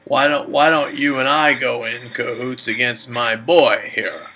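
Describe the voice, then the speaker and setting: A man's voice: medium pitch, fairly even intonation, unhurried, bright, forceful tone, soft background music, low-quality recording. A man playing a suspicious seventy-six-year-old father who rambles indignantly in folksy phrases on a prank call. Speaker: prank caller.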